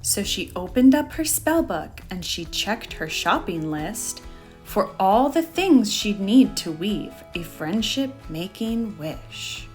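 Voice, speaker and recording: A woman reads a children's story aloud as host and storyteller. Her delivery is expressive and unhurried, at a medium pitch, with soft music underneath. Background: faint music.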